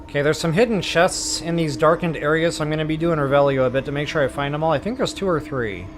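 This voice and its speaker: A man's voice: on the high side, quick pace. A man giving casual live gameplay commentary as a walkthrough host, explaining things in a chatty, informal way. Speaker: game streamer and walkthrough host. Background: game music.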